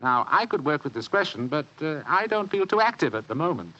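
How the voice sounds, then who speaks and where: An actor playing a lazy, grumbling, shrewd older English gentleman with a pompous drawl in an old-time radio drama. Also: fairly high pitch, sing-song, brisk. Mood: shrewd, commanding. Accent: British. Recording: dull, noisy vintage radio recording.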